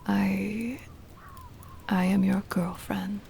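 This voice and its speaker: A woman playing a centuries-old vampire mistress, tender and possessive, in a hushed, breathy ASMR whisper with deliberate, formal wording. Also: medium pitch, steady tone, a little hiss or hum, full character voice. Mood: doting, possessive. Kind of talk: ASMR roleplay, intimate scripted monologue.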